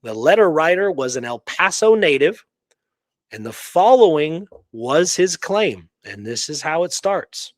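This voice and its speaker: A man's voice: on the high side, very animated, measured pace, no echo. A man co-hosting a casual history discussion, speaking conversationally and emphatically, often checking in with "right?". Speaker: podcast co-host. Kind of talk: casual history discussion with some reading aloud.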